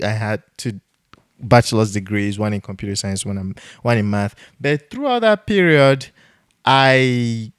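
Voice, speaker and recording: A man from Nigeria who hosts a jazz podcast, talking in a loose, animated way with many restarts. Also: medium pitch, smooth, no echo, studio quality. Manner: animated and storytelling, with laughs and restarts. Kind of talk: casual conversation.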